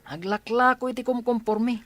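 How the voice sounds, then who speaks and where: A woman's voice: a little high, moderate pace, a bit bright, natural voice. A woman with an Ilocano accent voicing a cheeky, giggling schoolboy in a radio drama. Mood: teasing. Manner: giggly, teasing.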